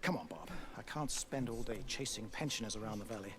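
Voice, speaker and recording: An actor playing a measured village doctor with a British accent in a video game, dry and wordy. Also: male voice, fairly low pitch, close to an everyday voice.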